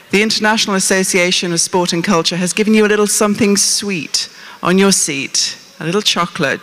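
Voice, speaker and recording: A woman's voice: lowish voice, a bit bright. A middle-aged woman hosting from a large auditorium stage, introducing things to an audience on a handheld microphone. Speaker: congress host. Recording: echoey hall PA sound.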